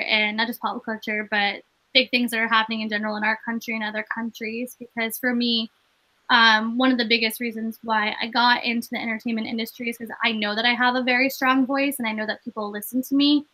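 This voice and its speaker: A woman's voice: on the high side, little pitch movement, brisk, sharp tone. A young woman from Maine, an actress and comedian, chatting casually as an interview guest with many "like" fillers.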